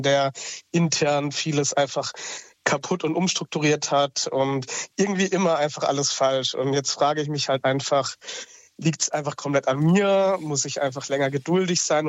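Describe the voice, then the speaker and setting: A young man in his late twenties calls in from his couch at home and talks things through in a relaxed, halting way, filling gaps with hesitations. His voice is mid-pitched and sits close and dry, with no room sound around it. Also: no echo, highs cut off. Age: 20s. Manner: hesitant, lots of filler sounds. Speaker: caller. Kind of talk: casual call-in chat.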